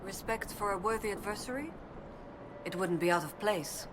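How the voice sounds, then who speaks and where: A woman's voice: mid-range voice, moderate pace, background noise, lightly acted. An actress playing a young female elven research assistant in a video game, earnest and determined, speaking in a precise, scholarly way. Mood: thoughtful, explanatory. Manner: precise, scholarly, thoughtful delivery.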